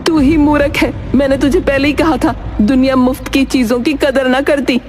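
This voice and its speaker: A woman voicing a bitter, selfish old village grandmother with a sharp, belittling manner, in a cartoon moral story. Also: high voice, steady tone, moderate pace, music in the background, pitched above the performer's own voice, lightly acted. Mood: scolding.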